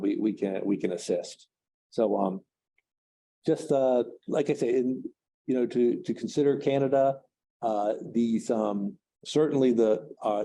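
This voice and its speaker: A man giving a seminar talk on trade, speaking haltingly with many fillers and restarts. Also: mid-range voice, gravelly, dark tone, slight background noise. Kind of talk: seminar talk, answering questions.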